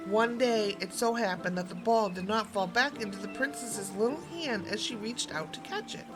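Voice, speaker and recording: A woman's voice: mid-range voice, low-quality recording. Woman storyteller reading over music. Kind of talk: expressive scripted fairy-tale narration.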